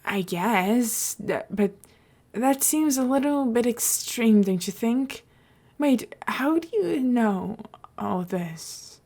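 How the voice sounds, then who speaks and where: A woman's voice: fairly high pitch, lively intonation, slow pace, dark tone, exaggerated voice. A woman reading the part of an ordinary, skeptical man in an audiobook, nervous and hesitant in manner. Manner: nervous, stammering, questioning.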